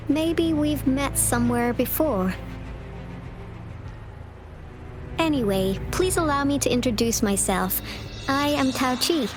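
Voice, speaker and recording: Composed high-voiced female official. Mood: polite, composed. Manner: formal, articulate. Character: composed, earnest female government official overseeing city defenses. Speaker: game character, director of defense fortifications. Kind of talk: English game dub dialogue. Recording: studio voice under loud music. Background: game soundtrack music.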